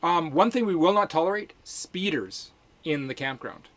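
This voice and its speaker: A chatty man with a slightly high voice co-hosts a casual live stream. He talks with firm emphasis and jokes along with his partner. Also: lively intonation, normal speed, fairly bright tone. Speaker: co-host and workamper.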